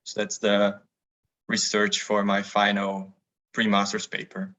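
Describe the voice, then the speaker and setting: A man, a student, slowly describing his research on a video call. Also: on the low side, monotone, sharp tone. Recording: muffled lo-fi call audio.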